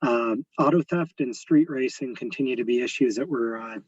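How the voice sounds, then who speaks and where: A man with a raspy, hoarse voice giving a police update at a community meeting, measured and full of fillers. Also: medium pitch, dry recording. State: hoarse from sneezing. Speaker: police lieutenant. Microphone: video call. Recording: muffled, distant video-call audio.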